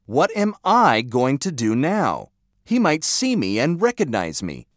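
Man playing a nervous young boy, pitched up. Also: wildly swooping pitch, unhurried, dry recording, clean studio sound. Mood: worried. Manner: nervous, pleading. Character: frightened young boy, nervous storybook narrator-hero.

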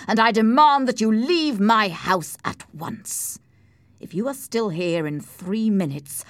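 Woman doing a stern proper husband in an audiobook, formal, bossy, indignant, measured pace, dry sound. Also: fairly low pitch, no room sound, close to an everyday voice. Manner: formal, emphatic, commanding. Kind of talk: audiobook character acting.

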